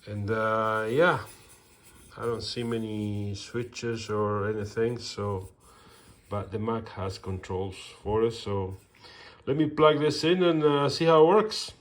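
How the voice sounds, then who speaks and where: A man's voice: fairly low pitch, slow pace. A man with a Mexican accent casually unboxing and reviewing a microphone in his woodworking shop, rambling with dry self-mocking humor and many fillers. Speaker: woodworking channel host.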